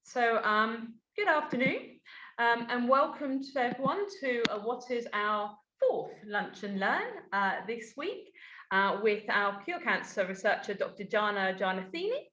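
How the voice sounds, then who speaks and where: A woman hosting an online lunchtime talk in a relaxed, chatty way with frequent ums. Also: on the high side, a little slow. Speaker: host. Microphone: video call. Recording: roomy, dull video call audio.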